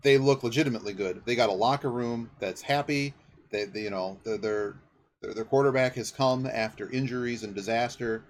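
A man's voice: mid-range voice, brisk. Man talking football over faint noise. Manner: rambling, thinking aloud, checking facts mid-sentence. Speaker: sports podcast host. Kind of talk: casual off-the-cuff football commentary.

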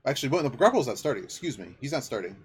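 A man's voice: medium pitch, big pitch swings, very fast, slight background noise. A man hosting a sports podcast, giving casual off-the-cuff football commentary and thinking aloud in a rambling way. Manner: rambling, thinking aloud, checking facts mid-sentence.

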